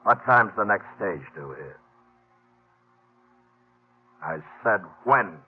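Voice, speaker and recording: A man's voice: fairly low pitch, animated, takes it slowly, husky, muffled recording, heavily acted. An actor playing a hard, commanding outlaw gang boss in an old-time radio western, terse and menacing as he gives orders. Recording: thin band-limited old radio recording.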